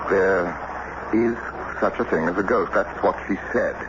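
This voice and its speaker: A man's voice: low-pitched, normal speed, natural voice. An actor in a radio drama playing an anxious, formal middle-aged statesman and husband, theatrical and hesitant in manner. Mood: grave, shaken. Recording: noisy muffled old radio broadcast.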